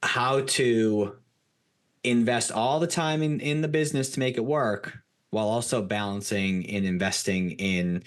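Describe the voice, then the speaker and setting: Deep voiced guy hosting a podcast, informal, rambles a bit into questions. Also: unhurried. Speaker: podcast host. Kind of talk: conversational interviewing.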